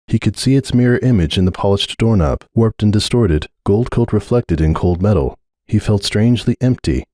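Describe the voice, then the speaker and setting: A man's voice: lowish voice, warm tone, no room sound, clean studio sound. A man reading a story aloud as narrator, in a dramatic scripted narration style. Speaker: narrator of fan fiction readings.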